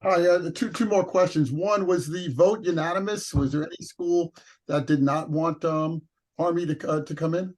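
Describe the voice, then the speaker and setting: A man's voice: fairly high pitch, steady tone, moderate pace, darkish tone. A male reporter asking direct follow-up questions on a press call.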